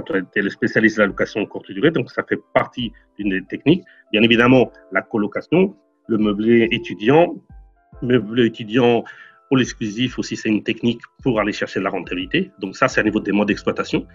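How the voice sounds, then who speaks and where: A man from Portugal in his forties answers questions earnestly as an interview guest, often stumbling over and repeating his words. His voice is husky and mid-pitched. The recording sounds slightly dull and lo-fi, with faint music behind. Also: brisk. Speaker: real estate investor guest.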